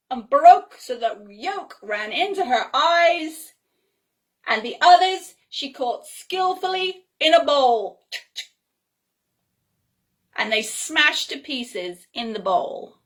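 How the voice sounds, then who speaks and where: A woman reading a children's story aloud in an expressive, whimsical way, with chatty, playful asides. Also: high voice. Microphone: phone.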